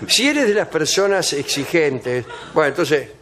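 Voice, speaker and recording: A man's voice: a little high, big pitch swings, unhurried, noisy background. A man hosting a comedy radio show, reading out a travel guide with dry sarcastic asides.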